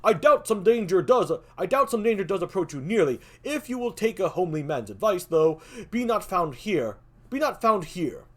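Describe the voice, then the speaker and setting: A woman voicing an anxious, humble male messenger in a raised, urgent, hurried voice while reading a play aloud. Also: lowish voice, sing-song, voice pushed up.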